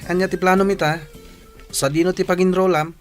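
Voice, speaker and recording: A man's voice: sits high, flat delivery, fairly quick, soft background music, close to an everyday voice. A man with an Ilocano accent playing a practical, encouraging young cousin in a radio drama. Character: practical, encouraging young male cousin urging city studies.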